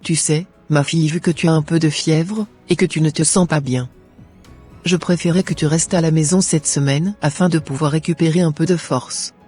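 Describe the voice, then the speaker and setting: Man voicing a high-pitched devout cartoon mother. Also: fairly even intonation, normal speed, darkish tone, husky, faint music behind. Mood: caring. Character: caring, devout mother and forgiving neighbour.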